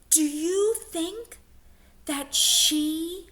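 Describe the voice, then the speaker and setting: A woman reading aloud to young children as a teacher, expressively, pausing to explain and ask them questions. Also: very high voice, noisy background.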